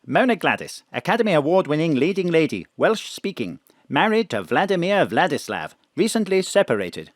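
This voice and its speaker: An actor voicing a polite, faintly reproachful robotic car assistant in a radio drama, recorded dry in a home studio. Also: male voice, a little high, sing-song, normal speed, few pauses, no echo. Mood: prim. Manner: prim, courteous, slightly reproachful.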